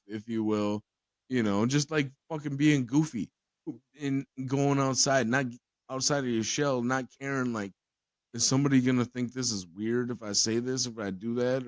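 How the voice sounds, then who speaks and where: A man's voice: mid-range voice, no echo. A man hosting a casual podcast chat who rambles, swears freely and laughs often. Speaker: podcast host.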